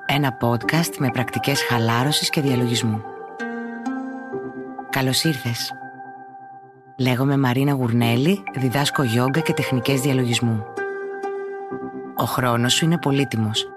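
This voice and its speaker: A woman calmly narrates a guided relaxation practice as a teacher, in a measured, instructional way. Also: rumbling low, over music. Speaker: yoga and meditation teacher, podcast host.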